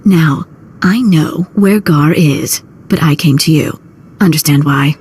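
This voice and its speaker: A low-voiced, sardonic underworld fixer. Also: female voice, slow, breathy edge, heavily acted. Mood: brisk, persuasive. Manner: sardonic, streetwise, casual. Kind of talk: video game acting. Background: loud music.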